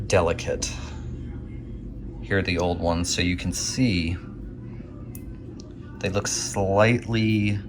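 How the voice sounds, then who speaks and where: Man, low pitch, casual vlog narration, hands-on repairer, small workshop, noisy background. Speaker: video host and radio restorer. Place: tiny home workshop. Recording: clear background noise.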